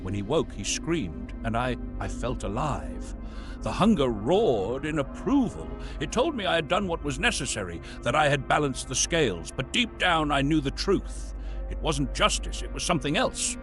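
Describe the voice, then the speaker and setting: A man playing a weary, tormented, sinister old Santa, narrating a horror confession in a brooding, deliberate, theatrical way. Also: mid-range voice, big pitch swings, natural voice. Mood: haunted. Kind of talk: dramatic horror monologue narration. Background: music.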